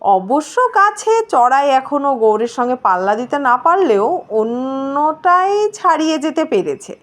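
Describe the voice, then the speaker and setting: Lady reading a story out loud, high voice, really animated up and down intonation. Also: unhurried. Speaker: story reader. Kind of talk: scripted story narration.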